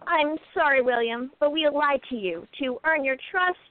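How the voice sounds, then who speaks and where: A woman playing a determined, high-voiced sleuth. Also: moderate pace, close to an everyday voice. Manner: firm, determined. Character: bold, resolute young female sleuth. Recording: dry tinny phone-like line.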